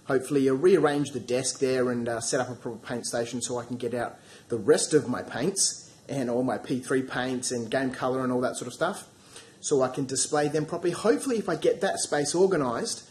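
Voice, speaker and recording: Croaky man with a cold, dark voice. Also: medium pitch, fairly quick, slight background noise. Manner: rambling, many fillers and restarts. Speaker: hobby channel host. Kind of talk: casual hobby vlog. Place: home hobby studio.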